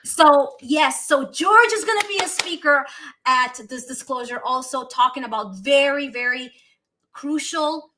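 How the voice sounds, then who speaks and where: A woman with a Romanian accent hosts a casual live chat, and her voice comes across bright and forward. She gushes excitedly about what is coming up and often restarts her sentences mid-thought. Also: high voice, unhurried. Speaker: host.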